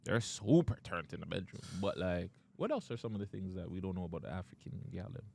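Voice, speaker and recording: A male podcast host whose pitch rises and falls a lot as he talks. He leans on street slang and breaks into laughter while quizzing his guest in a loose, joking way. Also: expressive, fast, gravelly, darkish tone, a little hiss or hum. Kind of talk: casual podcast interview.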